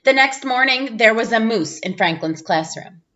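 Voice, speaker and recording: A woman reading a children's storybook aloud in an expressive narrating style. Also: fairly high pitch, normal speed, lo-fi sound, a little hiss or hum. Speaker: storybook reader, teacher.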